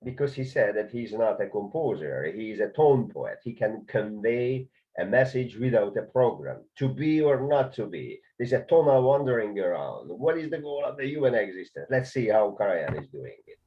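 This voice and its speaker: A man's voice: fairly low pitch, very animated, normal speed. Hungarian-accented man teaching conducting. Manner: hesitant, many filler sounds and restarts. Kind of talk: video lesson on conducting. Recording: dull lo-fi sound.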